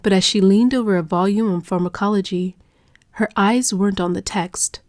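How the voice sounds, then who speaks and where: A woman narrating a scripted dark romance audiobook in a measured, hushed, dramatic manner. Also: mid-range voice, no echo, clean studio sound.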